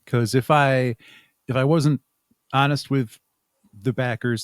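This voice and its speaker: A man talking casually and thoughtfully as an interview guest, with halting phrasing. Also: lowish voice, no echo. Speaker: podcast guest, audio drama creator.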